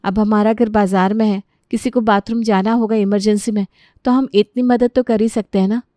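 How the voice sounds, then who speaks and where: A woman's voice: medium pitch, fairly even intonation, normal speed, dark tone, dry recording, studio quality, close to an everyday voice. A woman voicing a wry, helpful housewife and mother-in-law in a cartoon story, speaking expressively. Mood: warm, obliging. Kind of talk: Hindi cartoon story character voice.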